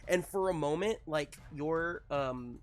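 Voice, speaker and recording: A man narrating a tabletop superhero game as game master in a casual, halting way, often searching for his words. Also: fairly high pitch.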